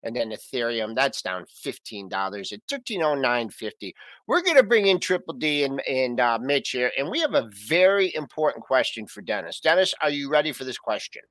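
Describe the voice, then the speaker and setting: Guy host, bright bouncy voice, rattles off prices quick, dry mic. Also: mid-range voice, no room sound. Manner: brisk, lively ticker rundown. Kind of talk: reading market prices.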